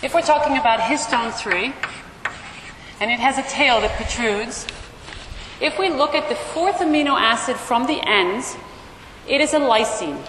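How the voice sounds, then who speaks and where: A woman's voice: fairly high pitch, expressive, normal speed, pauses often. A woman lecturer explaining material to a class in an explanatory way, often checking in with a short questioning word, speaking into a microphone in a large lecture room. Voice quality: loud voice. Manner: explanatory, checks in with "okay?" and "right?". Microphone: microphone through room amplification. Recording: echoey lecture hall, amplified, faint noise.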